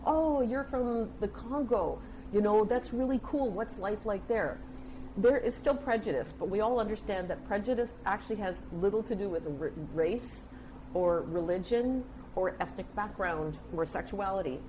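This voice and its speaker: An older woman, telling stories conversationally as an interview guest, with an animated manner. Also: mid-range voice, normal speed, low-quality recording. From United States. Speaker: interview guest, communications specialist. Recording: clear background noise and room ambience.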